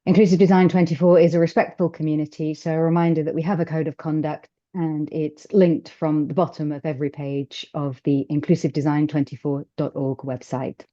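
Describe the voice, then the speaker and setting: A woman organizer reading brisk scripted announcements to open a conference session. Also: low-pitched, flat delivery, mellow, muffled recording.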